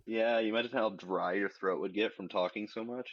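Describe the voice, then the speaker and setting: Deep-voiced easygoing man. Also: fairly even intonation, brisk, dull audio. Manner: easygoing, laughs along, teasing. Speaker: friend on voice chat. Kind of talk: casual gaming chat.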